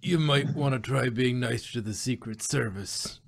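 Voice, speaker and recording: A man voicing a patient, mild-mannered kitchen manager in a story, measured and mildly dry. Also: mid-range voice, vocal fry. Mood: gently warning.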